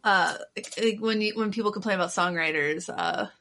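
Bright-voiced woman chatting casually. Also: medium pitch, fairly quick, gravelly. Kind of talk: casual chat.

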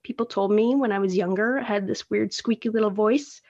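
A woman's voice: mid-range voice, fairly quick, a little mellow, dull audio. A Canadian woman hosting her own podcast, rambling in a chatty, self-deprecating way. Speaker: podcast host. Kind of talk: casual solo podcast monologue. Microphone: phone recording.

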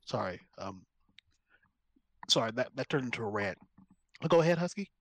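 A man with a lively, swooping pitch and a slightly raised voice jokes around as a podcast co-host. The sound is dry and close, and he laughs easily and slips into mock rants. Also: normal speed, dry recording. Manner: laughs easily, playful and ranting. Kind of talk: casual comedic podcast chat.

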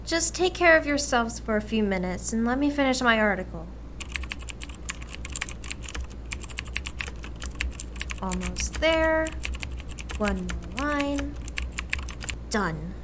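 A woman plays a brisk American mother in a family radio drama. Her voice is high, patient and gently coaxing, with faint background noise. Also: expressive. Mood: busy, patient. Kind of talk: radio play acting.

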